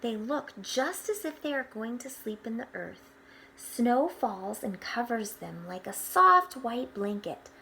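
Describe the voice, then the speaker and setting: Slow, higher-voiced woman reading a storybook. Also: creaky, a little hiss or hum, poor audio. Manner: expressive, with hushed asides pointing things out. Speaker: storyteller reading a picture book aloud to children. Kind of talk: children's picture-book read-aloud with explanatory asides.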